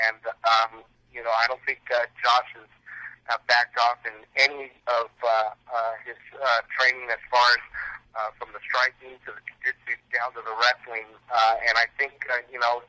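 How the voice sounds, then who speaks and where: A man speaks over a dry phone line as a coach being interviewed. His voice is very sharp and bright with little pitch movement. He talks in a halting, matter-of-fact way. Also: no echo. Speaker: kickboxing and striking coach, interview guest. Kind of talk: phone interview, recounting training. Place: phone call. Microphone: telephone.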